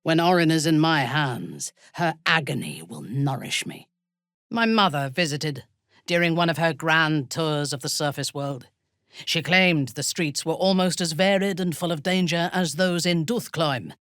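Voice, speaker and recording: A woman playing a ruthless, haughty dark elf noblewoman in a fantasy video game, speaking in a measured, menacing way with a breathy, husky voice. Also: low-pitched, lively intonation, no echo, studio quality, exaggerated voice. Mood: haughty, sinister. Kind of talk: dramatic fantasy game dialogue.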